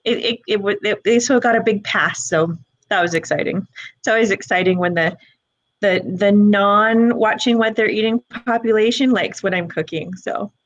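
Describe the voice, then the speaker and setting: A woman's voice: mid-range voice, normal speed, no room sound. A woman from Alberta, Canada, a recipe creator co-hosting a live cooking stream, chatty and prone to repeating words as she starts a thought. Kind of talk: live-streamed casual chat.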